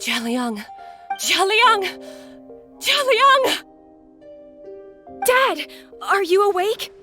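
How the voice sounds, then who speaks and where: A woman's voice: very high-pitched, very animated, very slow, sharp tone, exaggerated voice. A woman voicing an anxious, caring family member in a dramatic video game, emotional and urgent. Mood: anxious pleading. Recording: faint music under the voice.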